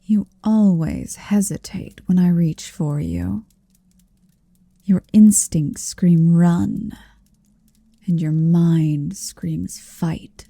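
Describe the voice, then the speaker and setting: Woman performing a possessive giant dragon lady, ASMR roleplay, lowish pitch, soft breathy half-whisper, domineering, teasing, close dry sound. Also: expressive, dry recording, full character voice. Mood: taunting, possessive. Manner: slow, deliberate, teasing and domineering. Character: towering possessive female dragon in human form.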